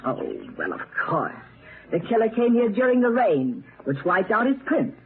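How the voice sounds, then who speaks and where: A woman's voice: on the high side, sing-song, normal speed, a crowd in the background. An actress playing an inquisitive, educated woman doctor in a 1930s radio mystery, earnest and articulate. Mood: reasoning, eager. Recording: narrow, noisy 1930s radio broadcast.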